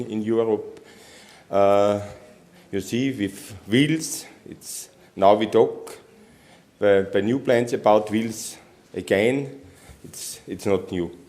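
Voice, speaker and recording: A man from Austria, a native German speaker, giving a technical conference presentation in halting English with many restarts. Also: medium pitch, darkish tone. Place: conference hall.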